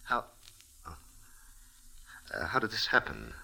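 Low, gravelly, nervous courtly count. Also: male voice, big pitch swings, bright, forceful tone, heavily acted, low-quality recording. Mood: startled, uneasy. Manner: formal, hesitant, polite, halting. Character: nervous, courtly Italian count, estranged husband and father. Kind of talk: 1940s radio drama acting.

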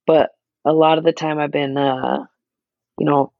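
A young man with a naturally raspy voice, chatting casually as a podcast co-host, laid-back and quick to laugh. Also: fairly high pitch, flat delivery, no room sound, narrow, tinny audio. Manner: laid-back, laughs often, many fillers. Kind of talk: casual chat.

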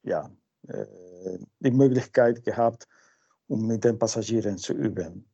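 Slow, halting man with Peruvian Spanish accent. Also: fairly low pitch, gravelly, dark tone, slightly rough. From Peru. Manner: halting, searching for words. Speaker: interview guest. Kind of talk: informal interview answers in a learned language. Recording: dull lo-fi sound.

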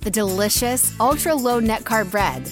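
A woman's voice: fairly high pitch, animated, moderate pace, over music. A woman narrating a scripted advertisement as a commercial announcer.

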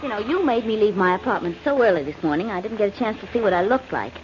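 A woman's voice: mid-range voice, lively intonation, fast, natural voice. An actress playing an eager, chatty female sidekick with an American accent, playful, in a 1940s-style radio detective drama. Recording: muffled, noisy old radio recording.

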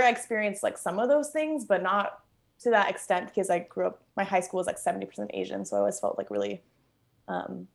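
A woman's voice: medium pitch, gravelly. A young woman born in Bangkok and raised in Los Angeles, chatting casually as an interview guest at home, full of fillers and easy laughter. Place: at home, kitchen nearby.

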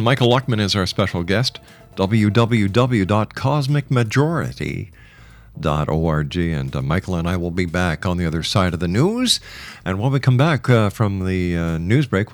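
A man hosting a radio show in a polished, announcing style. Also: on the low side.

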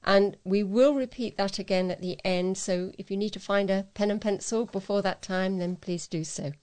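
Woman, volunteer newsreader, read-aloud local news, average pitch, careful delivery. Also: moderate pace, a little mellow, clear, resonant tone.

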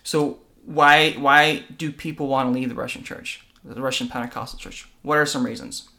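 A man's voice: mid-range voice, steady tone, moderate pace, vocal fry, bright, forceful tone. A man co-hosting a podcast, explaining faith and church tradition in an earnest conversational way, often checking in with "right?". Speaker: podcast co-host.

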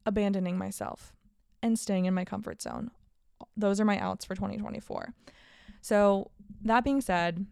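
A woman's voice: mid-range voice, creaky, a little mellow, no echo, studio quality. A woman in her late twenties hosting a casual solo podcast, talking candidly and upbeat about her year. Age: 20s. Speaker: podcast host.

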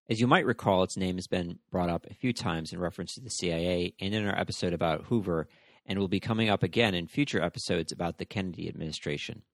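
A man hosting a history podcast, reading a scripted narration in a measured, explanatory way. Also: sits low, dry recording. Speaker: podcast host.

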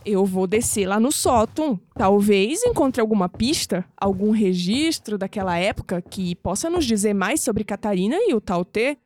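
Woman playing an animated, curious young Brazilian woman. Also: medium pitch, moderate pace, hardly any pauses, no room sound, natural voice. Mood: insistent. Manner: expressive, thinking aloud. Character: curious, headstrong, skeptical young granddaughter. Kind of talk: audio drama acting.

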